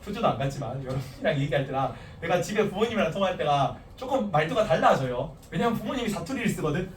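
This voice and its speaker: A man teaching a social studies class in casual lecture style, with a softened Gyeongsang accent and frequent asides to students. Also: fairly high pitch, fast. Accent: standardized Gyeongsang Korean. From Gyeongsang region. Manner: animated, talks to students casually, restarts and asides. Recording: echoey classroom, distant mic, faint hiss.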